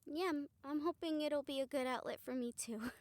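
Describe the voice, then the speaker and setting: A woman playing a gentle, supportive rehabilitator comforting a friend in an intimate audio roleplay, speaking softly, earnestly and a little hesitantly. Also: sits high, brisk, dry recording, close to an everyday voice. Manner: soft, hesitant, reassuring, earnest.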